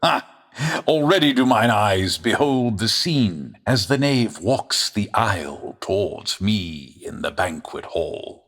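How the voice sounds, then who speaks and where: An actor playing a gloating, self-assured medieval English sheriff, declaiming grandly in old radio drama style. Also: male voice, on the low side, lively intonation, slow pace, fairly bright tone, exaggerated voice. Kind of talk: old-time radio adventure acting, archaic English.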